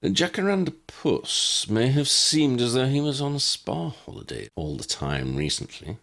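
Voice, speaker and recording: A man voicing a calm, courteous old man in an audiobook, speaking in a measured, formal and unruffled way. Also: mid-range voice, gravelly, pitched above the performer's own voice, full character voice. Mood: genial. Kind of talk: audiobook character voice.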